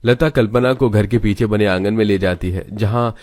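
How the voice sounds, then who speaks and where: Male story narrator for a cartoon tale, low register, scripted delivery. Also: normal speed, darkish tone.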